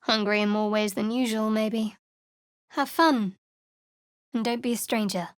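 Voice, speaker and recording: A woman voicing a guarded, sardonic young female cleric of a dark goddess in a fantasy video game, with a dry, secretive, teasing manner. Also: fairly high pitch, steady tone, unhurried, dry recording, close to an everyday voice. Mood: teasing, sly. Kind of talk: video game companion voice lines.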